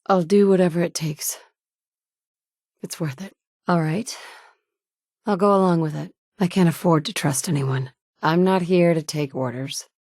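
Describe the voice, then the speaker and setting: An actress voicing a determined, pragmatic survivor in a video game, speaking firmly and directly. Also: female voice, on the low side, unhurried, long pauses, darkish tone, no echo, clean studio sound. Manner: firm and direct, shifting between stern and sympathetic. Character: determined adult woman, pragmatic wasteland survivor. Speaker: player character. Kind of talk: game dialogue voice acting.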